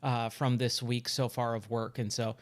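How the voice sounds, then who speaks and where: A man hosts his own retro game stream, chatting casually while he waits for games to load. His voice sits at an ordinary middle pitch but sounds a little hoarse and worn from the work week. He stays upbeat and talks his way through each moment of the stream. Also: flat delivery, moderate pace. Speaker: streamer and channel host. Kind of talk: casual live-stream chat.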